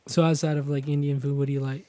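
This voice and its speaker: A man's voice: medium pitch, little pitch movement, fast talker, warm tone, no echo. A man hosting a podcast, chatting casually with lots of swearing. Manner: profane, laid-back banter. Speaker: podcast host. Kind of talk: casual chat.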